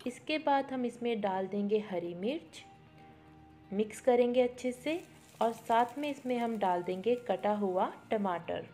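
A woman's voice: fairly high pitch, expressive, measured pace, dark tone, soft background music. A woman giving a step-by-step instructional cooking voice-over, mixing in English words. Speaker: cooking show host. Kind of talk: recipe tutorial voice-over.

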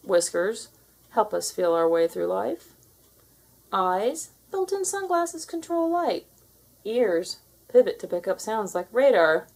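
A woman's voice: mid-range voice, big pitch swings, slow, gravelly, a little mellow, heavily acted. A woman voicing a smug, sassy alpha cat who lectures younger cats with boastful, theatrical flair in a storybook read-aloud.

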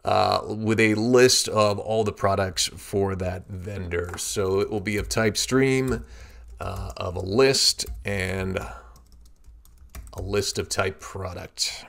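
Low-voiced man explaining code. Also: slow, vocal fry. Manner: steady step-by-step explaining. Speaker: programming tutorial instructor. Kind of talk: screen-recorded coding walkthrough.

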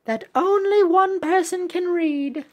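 A tiny, timid cookie sidekick from a mobile game, voiced by a woman. The voice is very high and cutesy, earnest and eager to help. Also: slow. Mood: earnest, wondering. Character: small, helpful, timid young cookie sidekick. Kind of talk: amateur read-aloud of mobile game dialogue.

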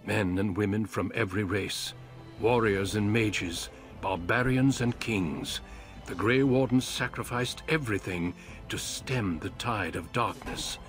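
An actor playing a grave, weary warrior of an ancient order, narrating a fantasy game in a solemn, measured, portentous way. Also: male voice, on the low side, a bit bright, soft background music. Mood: grave, resolute.

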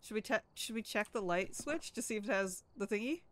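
A woman's voice: medium pitch, expressive, fairly quick. A young woman with a slightly stuffy voice, chatting and joking playfully while playing a co-op game at home. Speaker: gamer. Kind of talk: casual co-op gaming chat.

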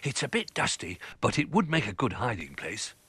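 A man's voice: medium pitch, sing-song, normal speed, voice pushed up, lightly acted. A man voicing an eager, scruffy young toy dog in a storybook, keen and artless.

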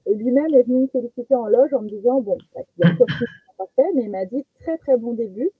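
Young female comedian from French Guiana, casual self-mocking storyteller, slightly high voice, tinny phone-like call audio. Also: quick pace, slightly rough, dry recording. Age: young adult. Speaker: guest stand-up comedian. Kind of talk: casual chat, telling personal anecdotes. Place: small attic bedroom. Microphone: headset on a video call. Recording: muffled phone-like video call sound.

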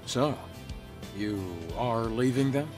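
A man playing a calm, practical scout in a video game, briefing the team in a businesslike way. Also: mid-range voice, slow, over music, natural voice. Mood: focused, calm. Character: calm, practical male scout and mission guide. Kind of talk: cinematic video game dialogue.

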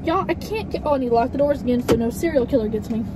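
A young woman with a Southern accent, chatting casually in a playful, excitable way while vlogging from a parked car. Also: high-pitched, racing. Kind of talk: casual car vlog chat. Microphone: camera on the dashboard. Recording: noisy car with outside ambience.

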